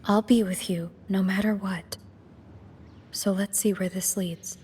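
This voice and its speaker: A woman playing a loyal, gentle companion in a video game, speaking softly and reassuringly with a breathy voice. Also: medium pitch, fairly even intonation, unhurried, long reverb tail, slight background noise, lightly acted. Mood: gentle, devoted. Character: loyal, supportive female companion, gentle and steadfast.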